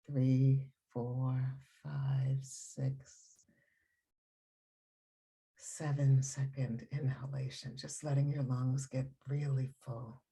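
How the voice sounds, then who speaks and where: A woman leading a guided meditation in a calm, soothing, unhurried manner with long pauses and a soft, breathy voice. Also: very deep voice.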